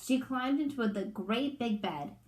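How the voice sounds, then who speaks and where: A woman's voice: fairly high pitch, expressive, measured pace, darkish tone, roomy sound. A woman reading a children's storybook aloud like a teacher, expressively and in simple phrasing for young listeners.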